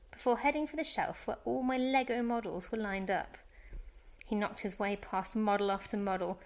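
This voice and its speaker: A woman reading a children's storybook aloud as a teacher, with animated, dramatic emphasis. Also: mid-range voice. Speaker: primary school teacher reading story time.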